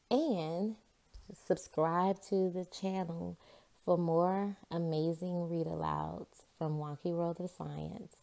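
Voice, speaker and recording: A woman hosting a children's read-aloud, telling things in an expressive, deliberate storyteller's way. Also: on the low side, creaky.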